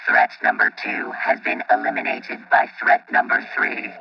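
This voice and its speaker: An actor playing a literal, unflappable robot protector in a radio play, formal and clinical, through a robotic filter. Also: male voice, moderate pace, highs cut off, soft background music. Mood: deadpan, analytical.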